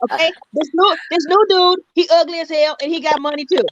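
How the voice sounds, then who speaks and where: A high-voiced woman rattles off blunt gossip at a quick clip, repeating her points and leaning on slang. She comes through a video call whose audio is a little dull, with faint noise underneath. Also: fairly even intonation. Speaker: co-host on a call-in video chat. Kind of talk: casual gossip chat.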